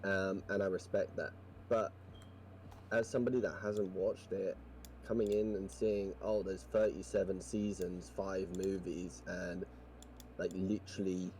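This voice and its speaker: A man's voice: sits low, darkish tone, slight background noise. A man with a posh English accent chatting casually as a guest, rambling thoughtfully with many fillers. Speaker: podcast guest. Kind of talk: casual chat.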